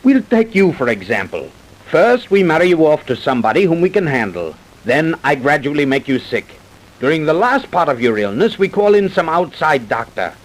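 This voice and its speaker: Scheming radio-play doctor, animated pitch. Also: male voice, rough recording. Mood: scheming, confident. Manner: persuasive, brisk, self-assured. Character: scheming, smooth-talking, greedy doctor plotting fraud. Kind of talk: old-time radio drama acting. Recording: vintage radio broadcast recording.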